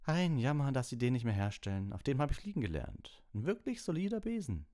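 A man plays a brisk older woman coach from an audiobook. Her voice is lifted high and swoops with admiration as she rambles on knowledgeably. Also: big pitch swings, mellow, natural voice. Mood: admiring. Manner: appreciative, rambling expert talk. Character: brisk older female flying coach, admiring broom enthusiast. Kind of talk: audiobook character voice.

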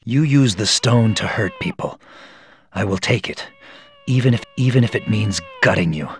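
An actor playing a stern, honorable ninja assassin in a video game, speaking in a formal, solemn way. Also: male voice, fairly low pitch, animated, normal speed, lightly acted. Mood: menacing. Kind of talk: video game cutscene acting.

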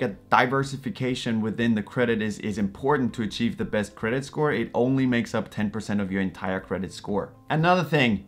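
Austrian-born man giving earnest money advice. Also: medium pitch. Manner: earnest, explanatory, gestures a lot while talking. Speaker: finance YouTuber. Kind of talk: direct-to-camera advice talk. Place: dim living room with couch and lamps.

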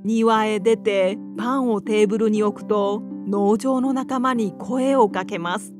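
A woman's voice: medium pitch, fairly even intonation, a little slow, music in the background. A woman reading a scripted children's storybook narration.

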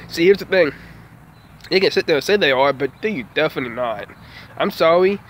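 A man's voice: a little high, a little hiss or hum. A man in his twenties, a small vlogger, ranting in a frustrated, dejected way, recorded outdoors beside a house on a phone held at arm's length. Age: 20s. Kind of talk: casual vlog rant.

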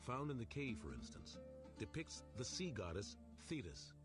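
A man reading scripted documentary narration in a measured, storytelling way. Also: mid-range voice, huge pitch swings. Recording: narration over loud music.

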